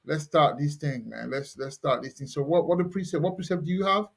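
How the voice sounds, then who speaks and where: A man with a fairly high speaking voice leads an online scripture lesson. He rambles loosely, repeating himself and leaning on fillers as he goes. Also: lively intonation, fairly quick, vocal fry, husky. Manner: loose, repetitive, with many fillers. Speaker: host teaching scripture. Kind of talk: casual religious teaching over video call.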